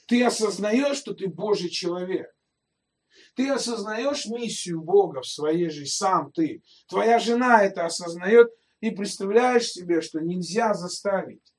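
High-voiced man preaching, mic at a distance. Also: a little slow. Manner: conversational preaching, addressing listeners directly with rhetorical questions. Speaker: pastor. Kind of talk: sermon.

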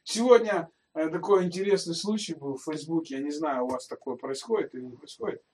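A man preaching with a high-pitched voice, talking to his congregation as if in conversation and putting questions to them. He sounds some way off the microphone, so the voice is a little distant. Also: fairly quick, darkish tone. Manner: conversational preaching, addressing listeners directly with rhetorical questions. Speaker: pastor. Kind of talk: sermon.

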